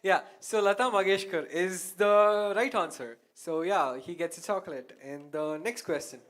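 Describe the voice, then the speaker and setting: Higher-voiced Indian man hosting casually. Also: normal speed, a bit bright. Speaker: event host running a quiz. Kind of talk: casual live stage hosting.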